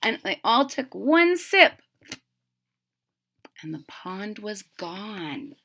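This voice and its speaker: Woman, storybook read-aloud, bright tone, lively intonation, unhurried, explanatory asides for children. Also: mid-range voice, husky. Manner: expressive, drawn-out emphasis, explains to young listeners. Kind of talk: animated picture-book read-aloud with chatty asides.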